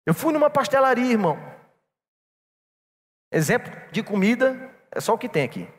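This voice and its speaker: A man's voice: very high-pitched, sing-song, moderate pace. A man preaching a sermon to a church congregation, telling a personal story and calling them brothers. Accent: non-local Brazilian. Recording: long reverb in a church hall.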